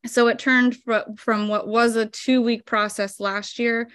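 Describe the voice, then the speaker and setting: A woman's voice: fairly high pitch, level tone, measured pace, fairly bright tone, smooth, no room sound, studio quality. Chatty woman on a video call. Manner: chatty, explains step by step with many fillers. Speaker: flower farmer guest. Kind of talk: casual interview over video call. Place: home room indoors. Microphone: video call webcam.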